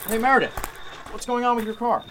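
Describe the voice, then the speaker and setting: A man playing a calm, commanding lawyer in an old-time radio mystery drama, speaking in a measured way. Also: extremely high pitch, noisy background. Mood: concerned. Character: calm, take-charge male lawyer carrying a gun.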